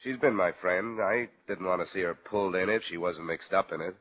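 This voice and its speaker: An actor playing a tough, weary investigator in an old radio detective drama, with clipped, blunt delivery. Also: male voice, low-pitched, brisk, a little hiss or hum, lightly acted. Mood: guarded, wry. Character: tough, weary male insurance investigator, hard-boiled and loyal. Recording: thin, tinny vintage radio recording.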